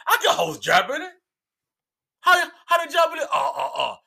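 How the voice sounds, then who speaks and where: Animated man who stutters, podcast host. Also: extremely high pitch, normal speed, gravelly. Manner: joking, loud outbursts, playful voices. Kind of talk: casual comedic podcast banter.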